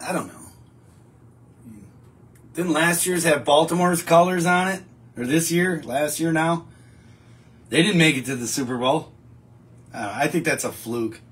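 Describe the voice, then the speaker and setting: Middle-aged guy chatting on his stream, kinda high voice, rambly, lots of restarts. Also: measured pace, long pauses, a bit bright. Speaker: show host reading viewer comments. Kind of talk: casual live-stream chat. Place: home studio with a wallpaper backdrop.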